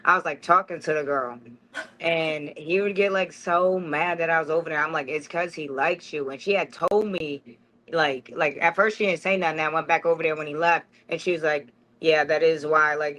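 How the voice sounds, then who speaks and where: A young woman with a bright, sharp-edged voice tells stories as a guest in an interview. She speaks candidly and casually, in rambling, slangy sentences peppered with "like". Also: deep voice, fairly even intonation, moderate pace, poor audio. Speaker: interview guest, former prison inmate. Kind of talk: candid conversational storytelling.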